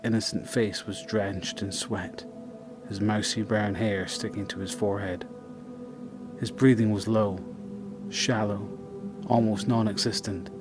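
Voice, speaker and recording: A man with a fairly low voice narrates a scripted drama. He delivers it in measured, short phrases, with music clearly playing behind him.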